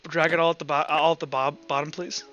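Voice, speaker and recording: Bright-voiced man running a tabletop game. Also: a little high, level tone. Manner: halting, repeats and restarts phrases. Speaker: game master. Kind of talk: improvised tabletop game narration. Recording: muffled online voice call with background music.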